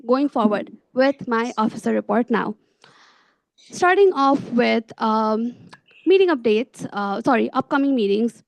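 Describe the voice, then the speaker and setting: A woman's voice: fairly high pitch, creaky, darkish tone, dry recording, rough recording. A young adult woman speaking as a student government officer giving a report at a meeting. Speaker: student government vice president.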